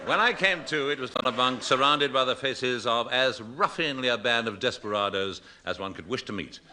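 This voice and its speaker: A man's voice: medium pitch, moderate pace, lightly acted. An actor playing a naive, polite young would-be sailor, narrating his adventure straight-faced in a 1960s radio comedy. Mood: dramatic narrating. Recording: very noisy old radio recording.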